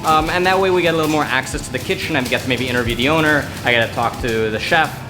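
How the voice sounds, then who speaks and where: A man's voice: medium pitch, very fast, over music. A young man from Romania with an American accent, chatting casually about food in an interview. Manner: relaxed, rambling with fillers. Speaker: food video creator.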